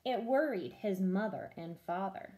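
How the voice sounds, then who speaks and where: A woman teacher reading a children's storybook aloud in an expressive storytelling style. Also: medium pitch, a little slow.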